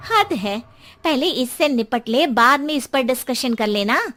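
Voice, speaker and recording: Casting: woman as cartoon neighbour lady, highish and bright, brisk, doubting, chiding. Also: animated. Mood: exasperated. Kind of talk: Hindi cartoon story acting.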